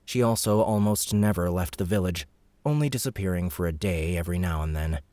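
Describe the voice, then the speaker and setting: Deep-voiced male audiobook narrator. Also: no room sound. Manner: steady, measured storytelling. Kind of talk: scripted narration.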